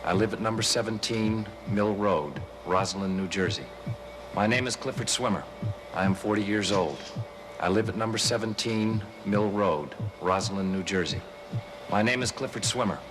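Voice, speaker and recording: A man's voice: lowish voice, moderate pace, background noise, lightly acted. An actor playing a bitter, wisecracking man in his forties who is fed up with his life, in a 1970s TV movie. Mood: flat, repetitive. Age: 40s. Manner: wisecracking, sarcastic, exasperated. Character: bitter, sardonic middle-aged man in therapy.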